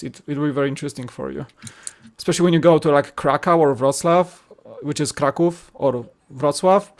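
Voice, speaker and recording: A man's voice: on the high side, darkish tone. A man from Poland with a Polish accent, a podcast co-host chatting casually and explaining at length with frequent restarts. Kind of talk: casual chat.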